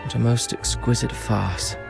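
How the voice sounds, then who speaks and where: An actor playing a suave aristocratic prince in a video game, wry and caring, with refined, theatrical formal diction. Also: male voice, mid-range voice, steady tone, a little slow, creaky. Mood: scornful. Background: background music.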